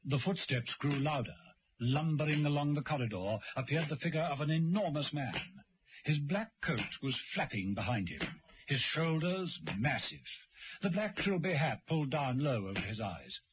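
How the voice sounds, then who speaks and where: A man's voice: a little high, sing-song, moderate pace, background noise. A man reading dramatic scripted narration for a radio play. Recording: dull old radio recording, music behind.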